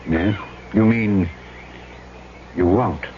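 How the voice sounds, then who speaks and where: An actor playing a blunt, hot-tempered South Seas trader in a 1970s radio drama. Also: male voice, low voice, extremely slow, a little hiss or hum. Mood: indignant. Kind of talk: 1970s radio drama acting with first-person narration. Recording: dull vintage radio recording with music.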